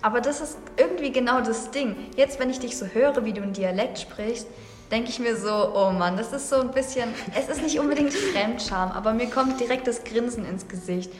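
A woman's voice: fairly high pitch, a little fast. A young woman from Saxony with a slight Saxon accent, chatting casually in a podcast discussion and thinking aloud. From Saxony, Germany. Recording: echoey room with long reverb.

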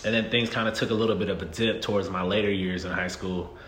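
Candid low-voiced man in his thirties. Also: fast talker. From Southern United States. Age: 30s. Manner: relaxed and candid, frequent "um" and "you know what I mean". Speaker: podcast guest. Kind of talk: casual interview chat. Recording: echoey room with faint noise.

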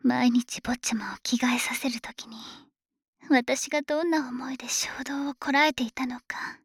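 Woman playing a breathy, high-voiced possessive dragon maid. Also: fairly even intonation, dry recording, clean studio sound. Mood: obsessive longing. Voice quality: breathy, whispery. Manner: slow, honorific servant speech, softly teasing. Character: obsessive, possessive female dragon maid, polite and menacingly doting. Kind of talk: Japanese ASMR situation voice drama. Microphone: close microphone, dry studio recording.

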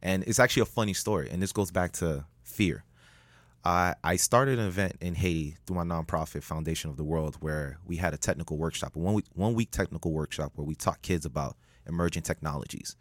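A man telling a story as a podcast guest, animated, jumping up in pitch when he jokes. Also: brisk, no echo. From United States. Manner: animated, rises sharply in pitch when joking. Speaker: guest, public speaker.

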